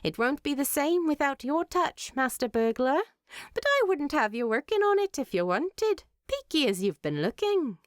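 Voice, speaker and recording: A woman's voice: sits high, very animated, normal speed, no room sound, studio quality, pitched above the performer's own voice. A woman voicing a dignified, shrewd female dwarf guild leader in a fantasy story, speaking formally. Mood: resigned, concerned.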